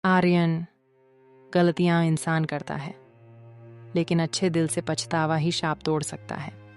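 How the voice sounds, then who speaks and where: A woman's voice: deep voice, level tone, a little slow, soft background music. A woman playing a brave, kind-hearted young princess in a cartoon story, speaking earnestly. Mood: gentle. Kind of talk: Hindi animated moral story dialogue.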